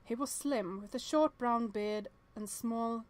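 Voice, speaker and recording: High-voiced woman playing a story game aloud. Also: a little slow. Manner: reacts aloud to the story, chatty. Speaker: let's-play streamer. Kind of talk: reading visual novel text aloud with casual reactions.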